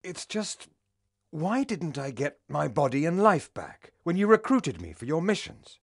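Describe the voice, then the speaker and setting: An actor playing a grave, honourable veteran warrior commander in a fantasy game, with a formal manner. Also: male voice, fairly high pitch, sing-song, measured pace, breathy edge, no room sound, studio quality, full character voice. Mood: deferential. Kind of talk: fantasy game cutscene acting.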